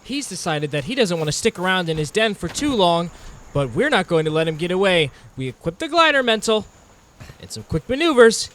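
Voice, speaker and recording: A man narrating video game play in a theatrical, intense documentary style with deliberate phrasing, speaking as the hunter himself. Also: sits high, sing-song, fairly bright tone, slight background noise. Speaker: gaming video narrator playing a monster hunter.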